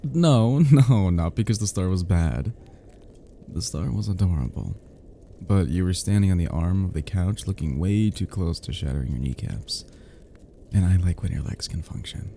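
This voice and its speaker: A man acting a deep, mellow, flirty close friend. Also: lively intonation, normal speed, creaky, slight background noise. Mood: fond, amused. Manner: soft, hesitant murmur with frequent chuckles. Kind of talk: intimate ASMR roleplay audio drama.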